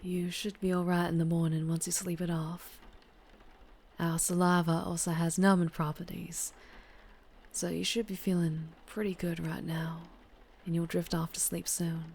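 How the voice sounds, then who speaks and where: A woman playing a flirtatious, protective vampire biker in an ASMR roleplay, with a Southern US accent and a soft, intimate delivery that slips into whispers. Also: on the low side, flat delivery, moderate pace. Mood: soothing, flirty. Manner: soft, intimate, often dropping into breathy whispers.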